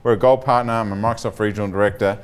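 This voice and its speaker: Man, presenter, smooth resonant voice, energetic talk with fillers. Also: on the low side, racing. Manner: energetic opener, many ums. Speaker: chief architect presenter. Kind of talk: conference talk. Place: meeting room with audience.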